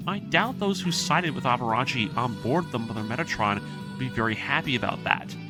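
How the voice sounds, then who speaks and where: Casting: male video essayist, medium pitch, earnest and opinionated scripted narration with casual asides, music bed underneath. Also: animated, brisk. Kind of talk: scripted retelling and analysis narration. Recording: music behind the voice. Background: background music.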